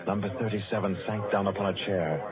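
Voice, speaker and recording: A man's voice: lowish voice, fairly even intonation, fast talker, over a noisy crowd, natural voice. A man narrating a suspense tale for an old radio drama in a solemn, scripted storytelling manner. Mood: suspenseful. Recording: muffled, tinny old radio recording. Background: music.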